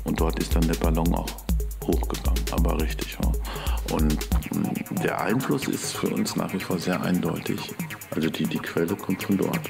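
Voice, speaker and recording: A man giving reflective interview talk in measured phrases with pauses between them. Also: low-pitched, little pitch movement, gravelly. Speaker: interviewee, techno scene witness. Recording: electronic music bed behind voice. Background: electronic music.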